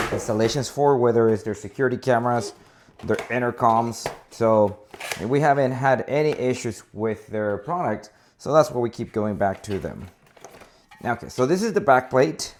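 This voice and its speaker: A man's voice: lowish voice, moderate pace. A man working as an installer gives a casual, unscripted product walkthrough, hesitating and often correcting himself as he repeats words. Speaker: installer presenting a product demonstration. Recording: noisy, with clicks and handling clatter.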